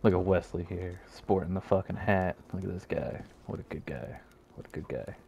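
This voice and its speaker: Male gamer, very low voice with fry, teasing casual banter in character. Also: normal speed, a little mellow, slight background noise. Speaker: role-play player.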